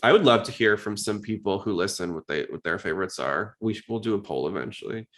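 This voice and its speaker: A man's voice: on the low side, expressive, fast talker, a bit bright. A man hosting a casual fan podcast, chatty and full of opinions. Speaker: podcast host.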